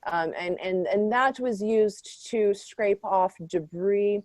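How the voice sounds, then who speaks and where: A woman playing an earnest archaeologist on a mock news panel, halting and mock-scholarly. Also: mid-range voice, a little mellow, dry recording, lightly acted.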